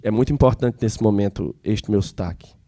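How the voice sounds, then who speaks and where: A low, dark-toned man with a Piauí Brazilian accent opens a museum seminar. His voice has a gravelly edge, and he talks in a halting way, restarting phrases and slowing down on names. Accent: Piauí Brazilian Portuguese. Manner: halting, many restarts and fillers, slows on names. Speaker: seminar organizer and moderator. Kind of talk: spontaneous public speech at a seminar.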